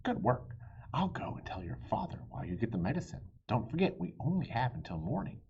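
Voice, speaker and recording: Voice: medium pitch, very animated, a little fast, darkish tone, slightly rough, highs cut off, heavily acted. A man voicing an anxious medieval lady, a mother, urgent and exclaiming, in a storybook read-aloud. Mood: approving, urgent.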